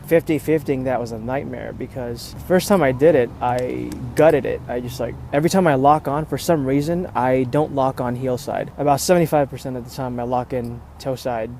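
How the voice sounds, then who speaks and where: Male skate vlogger, average pitch, loose conversational delivery, slightly noisy phone-earpiece sound from an outdoor urban spot. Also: a little fast, hardly any pauses, darkish tone. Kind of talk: casual rambling vlog chat. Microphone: earphone microphone with a phone.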